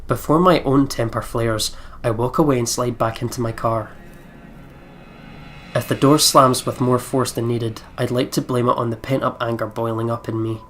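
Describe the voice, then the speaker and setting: A man's voice: mid-range voice, fairly even intonation, moderate pace, a bit bright, slight background noise. A man hosting a let's play, reading visual novel narration dramatically and chatting casually in between.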